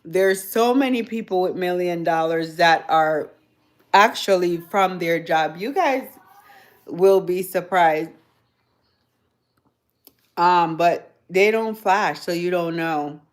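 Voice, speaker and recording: A woman's voice: lowish voice, slow, pauses often. A woman from Jamaica with a Jamaican accent, chatting casually with viewers on her livestream, rambling and laughing often. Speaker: livestream host. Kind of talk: casual live chat with viewers.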